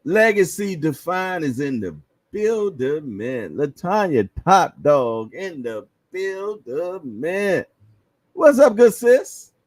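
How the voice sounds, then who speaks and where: A man's voice: on the high side, sing-song, takes it slowly, a little mellow, dry recording. A man in his fifties hosting a boxing livestream, chatting casually and reading viewer comments, chuckling and repeating phrases with slangy banter. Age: 50s.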